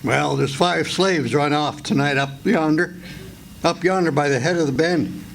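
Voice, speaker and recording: An actor playing a gruff, brusque slave hunter in a radio play. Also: male voice, a little high, a little slow. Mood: suspicious, commanding. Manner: brusque, questioning. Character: gruff, bossy armed slave hunter, grown man. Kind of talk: old-time radio play acting. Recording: live stage, faint background noise.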